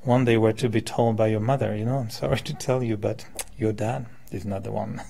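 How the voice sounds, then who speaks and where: Gravelly man giving a talk. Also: lowish voice, steady tone, fairly quick, mellow, slight background noise. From born in France, settled in Quebec. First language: French. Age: 50s. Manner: conversational, illustrates with hypothetical examples and questions. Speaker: meditation teacher, speaker. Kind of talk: informal spiritual talk to a live group.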